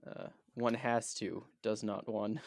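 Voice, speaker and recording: A man's voice: fairly low pitch, steady tone, takes it slowly, gravelly, dry recording, clean studio sound. Playful, rambling man on a podcast. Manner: playful, rambling, stammers and restarts. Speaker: podcast co-host. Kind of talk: casual chat.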